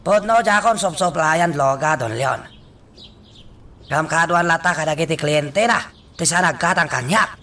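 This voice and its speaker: A man acting an impatient crime boss in an Ilocano radio drama, with a forceful, agitated delivery. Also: fairly high pitch, measured pace. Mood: scheming, tense. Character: impatient male crime boss plotting drug deals.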